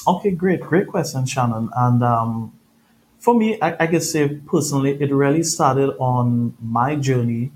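Brief: Trinidadian man, reflective interview guest, mid-pitched voice, faint noise behind. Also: measured pace, darkish tone, rough recording. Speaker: podcast guest. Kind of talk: conversational interview storytelling.

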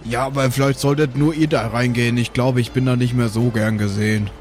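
A man's voice: medium pitch, steady tone, a little fast, darkish tone, faint music behind, natural voice. A man playing an exasperated, scolding adventurer brother. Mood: reluctant. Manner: scolding, reproachful. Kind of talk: improvised role-play dialogue.

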